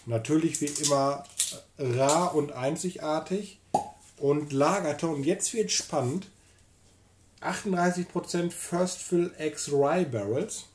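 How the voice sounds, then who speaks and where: A man's voice: fairly high pitch, takes it slowly, slight background noise. A man in his thirties co-hosting a casual whisky tasting at home, reading out label details in a chatty way. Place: home room with whisky shelves, seated in armchair.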